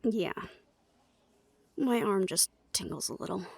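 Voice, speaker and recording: A woman's voice: mid-range voice, unhurried, vocal fry, husky, dry recording, full character voice. A woman playing a feisty, defiant young woman with a dry manner in an audio drama. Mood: unrepentant.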